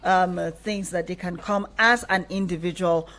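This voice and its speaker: A woman's voice: lowish voice, steady tone, normal speed, a bit bright. A woman with a Nigerian accent hosting and interviewing live in a radio studio. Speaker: radio show host. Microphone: studio microphone.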